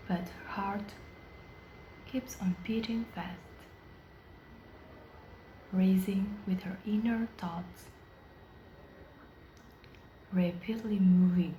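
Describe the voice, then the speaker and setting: A woman reading poetry aloud in a measured way, pausing long between phrases. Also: mid-range voice, dark tone. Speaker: poetry reader. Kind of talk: slow poetry recitation. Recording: roomy echo with faint background noise.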